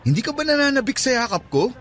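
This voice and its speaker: A man's voice: very high voice, sing-song, fast, fairly bright tone, heavily acted. A man playing a playful, insistent and oblivious middle-aged suitor in a Filipino radio romance drama, coaxing and teasing.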